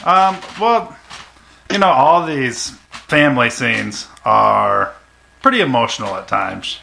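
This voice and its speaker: Man, gravelly fry, bright edge, casual candid interview guest, faint noisy ambience. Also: mid-range voice, lively intonation, takes it slowly. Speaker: audio drama performer guest. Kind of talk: casual chat.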